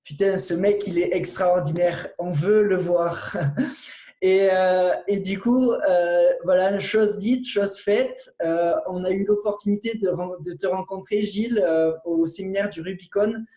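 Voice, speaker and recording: A man hosting a conference who introduces the speaker in a halting way. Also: sits high, mellow, roomy sound. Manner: halting, many fillers and restarts. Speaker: conference host.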